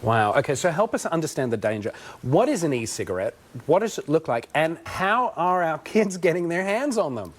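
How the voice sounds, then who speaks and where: A man's voice: fairly high pitch, sing-song, moderate pace. A man hosting a scripted TV health segment, leading an interview with upbeat, emphatic questions. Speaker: host.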